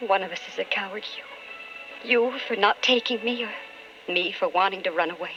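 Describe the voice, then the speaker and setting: An actress playing an anguished, impatient politician's wife in an old-time radio drama. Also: female voice, mid-range voice, a little fast, music in the background, natural voice. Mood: desperate. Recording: muffled old radio broadcast with hiss.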